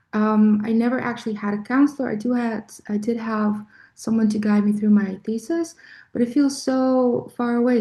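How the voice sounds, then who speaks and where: A woman asking hesitant questions as a prospective student on a video call, with many pauses and fillers. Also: fairly high pitch, warm tone. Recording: echoey room on a video call.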